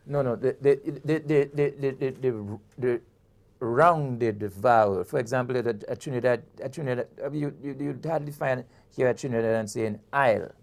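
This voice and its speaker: Gravelly-voiced poet guy, grenada, keeps restarting words while explaining. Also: medium pitch, normal speed. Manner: explains with frequent repetitions and restarts. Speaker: poet and author. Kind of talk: conversational interview.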